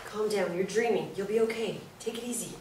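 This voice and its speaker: An actress in a play reading playing a brisk, soothing hospital nurse who gives firm reassurance in short phrases. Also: female voice, mid-range voice, darkish tone, natural voice. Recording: roomy, distant mic, faint noise.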